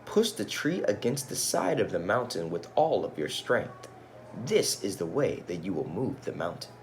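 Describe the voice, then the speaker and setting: A solemn wise man of a storybook village, read by a man, handing out measured instructions. The pitch moves about freely, over faint noise. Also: very animated. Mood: solemn, instructing.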